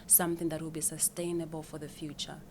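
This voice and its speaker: Woman, deep low voice, guest explaining, repeats words, slight background hiss. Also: little pitch movement, fast talker. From Zimbabwe. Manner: explanatory, with frequent repeated words and small laughs. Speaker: financial planner guest. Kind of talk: casual interview answers.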